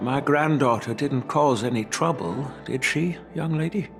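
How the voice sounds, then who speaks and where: Aged grandfather swordsmith, game cutscene, measured and grave, mid pitch, soft music under. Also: vocal fry. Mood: kindly. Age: older. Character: wise elderly male master swordsmith, grandfather. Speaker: game character. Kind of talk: video game cutscene voice acting.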